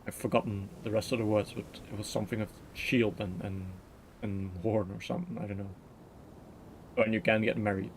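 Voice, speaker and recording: A man's voice: on the low side, little pitch movement, fairly quick, a little hiss or hum, rough recording. A man giving casual, playful live commentary as he streams a game, joking as he goes. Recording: faint game music behind.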